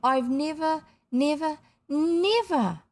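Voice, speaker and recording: A woman voicing an excited, grateful young girl in a children's storybook, breathless and full of wonder. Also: extremely high pitch, a little slow, pitched above the performer's own voice, exaggerated voice. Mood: breathless joy. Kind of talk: children's storybook character reading.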